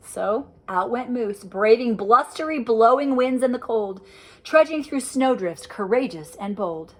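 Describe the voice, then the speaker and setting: Grandma reading a picture book out loud, kinda high voice, bouncy sing-song way of telling it. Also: female voice, unhurried, a little hiss or hum. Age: older. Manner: lively, sing-song rhyming delivery with asides about the pictures. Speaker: storyteller reading to children.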